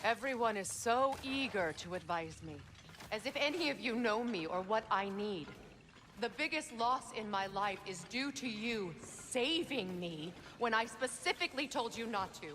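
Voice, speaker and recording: A woman's voice: a little high, lively intonation, normal speed, fairly bright tone, a little hiss or hum. A woman playing a proud, grieving, vengeful goddess in a video game, with a guarded, cutting delivery. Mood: bitter, defensive. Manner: guarded, cutting, dryly sardonic. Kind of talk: cinematic video game acting.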